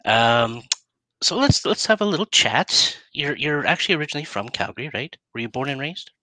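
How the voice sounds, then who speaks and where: A man's voice: medium pitch, sing-song, fairly quick, bright, forceful tone, dry recording, rough recording. A middle-aged man with a Canadian accent, hosting a casual interview over a headset. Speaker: interview host. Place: small room indoors, video call.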